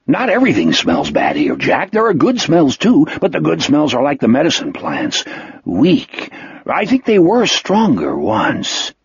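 A man's voice: on the high side, huge pitch swings, measured pace, creaky, slightly rough, dry recording, highs cut off, heavily acted. A man voicing a big, simple, devoted wolfish creature in an audiobook story, with childlike, broken speech. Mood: wistful, dreamy. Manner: simple broken speech, repeats own name.

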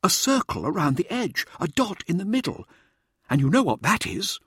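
A man's voice: high voice, very animated, normal speed, fairly bright tone, breathy edge, no echo, studio quality, voice pushed up, exaggerated voice. A man voicing an eager, showboating male scientist in an audiobook, theatrical, pressing and didactic in his delivery. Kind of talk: audiobook character dialogue.

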